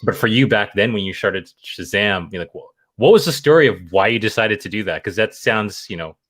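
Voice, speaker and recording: A man's voice: on the low side, animated, fairly quick, a bit bright, dry recording. A man hosting a casual interview show, speaking eagerly with lots of fillers. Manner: eager, filler-heavy, lots of you know. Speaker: show host.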